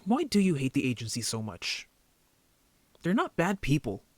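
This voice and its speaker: A man playing an injured young werewolf, a defensive, easily embarrassed brother who talks casually and haltingly in an audio drama roleplay. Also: fairly high pitch, wildly swooping pitch, dry recording. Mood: earnest, defensive.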